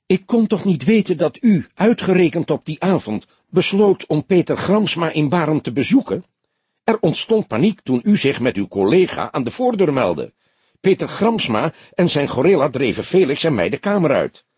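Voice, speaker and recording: Guy doing a icy indignant woman in an audiobook, higher husky voice, curt, bit hesitant. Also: big pitch swings, normal speed, no echo. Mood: defensive. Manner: sharp, curt, sometimes hesitant. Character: indignant, icy, guarded woman under police questioning. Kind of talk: audiobook character dialogue.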